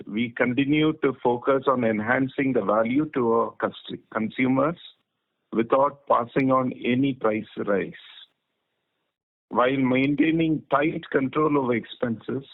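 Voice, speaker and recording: Casting: Indian male executive, mid-pitched gravelly voice, measured formal delivery of prepared remarks, telephone sound. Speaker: company managing director. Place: conference phone call. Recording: thin, tinny phone line.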